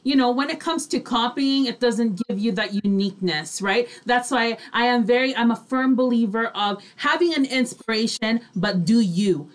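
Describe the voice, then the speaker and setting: A woman's voice: fairly high pitch, expressive, normal speed, few pauses. A woman hosting a casual online radio chat, gushing and effusive as she talks. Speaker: online radio show host.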